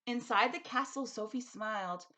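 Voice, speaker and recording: A woman's voice: fairly high pitch, normal speed. A woman teacher speaking expressively and emphatically, as in a storybook read-aloud for a school lesson. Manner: animated, emphatic.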